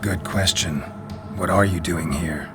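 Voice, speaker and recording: A world-weary monster hunter from a fantasy video game. The voice is very deep, and the delivery is terse, dry and blunt. Music is clearly audible behind it. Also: male voice, unhurried. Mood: cautious, stern. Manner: terse, deadpan. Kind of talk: dramatic video game voice acting.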